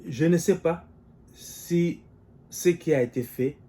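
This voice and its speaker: Mellow-voiced man weighing politics aloud. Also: on the high side. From DR Congo. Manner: measured, thinking aloud with rhetorical questions. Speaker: political commentator. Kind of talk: solo political commentary. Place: living in the United States.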